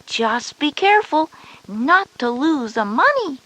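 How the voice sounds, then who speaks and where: A woman's voice: high-pitched, very animated, measured pace, a bit bright, exaggerated voice. A woman playing a knowing, reassuring older sister of school age in a children's educational audio drama.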